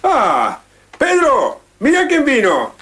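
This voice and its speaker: A man's voice: very high voice, huge pitch swings, slow pace, background noise, exaggerated voice. A man playing a jovial, bantering friend in a radio drama, with an Argentine accent. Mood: playful. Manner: bantering, chuckly. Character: jovial, teasing man hosting his friend. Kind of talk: amateur radio drama acting.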